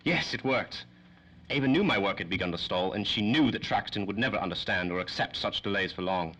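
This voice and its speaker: A man acts a self-important doctor of science from a 1930s radio serial. His low voice has a sharp, forceful edge, and he rattles off formal, pedantic phrases quickly. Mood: proud, indignant. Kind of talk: 1930s old-time radio drama acting.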